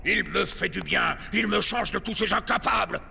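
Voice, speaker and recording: A man voicing a blustering, bossy, tantrum-prone space pirate captain in a children's cartoon, with a gravelly, theatrical bark. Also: sits high, normal speed, sharp tone, long reverb tail, exaggerated voice. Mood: bossy, menacing. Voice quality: gravelly, creaky. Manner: barking, theatrical, exaggerated.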